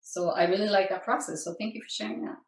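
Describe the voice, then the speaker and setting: A woman's voice: medium pitch, steady tone, quick pace, vocal fry. A woman on a group call, a workshop participant speaking appreciatively with a few stammered restarts, well away from her mic. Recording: echoey room audio from far off.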